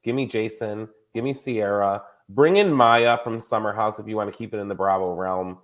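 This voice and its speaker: Male podcast host, 30s, lowish voice, loose filler-heavy chat. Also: normal speed. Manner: chatty, lots of filler words. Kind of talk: casual chat.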